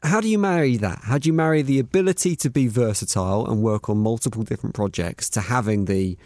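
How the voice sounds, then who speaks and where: A man's voice: medium pitch, quick pace, a little mellow, no room sound, studio quality. A man hosting a podcast interview, putting questions to his guests in a conversational style.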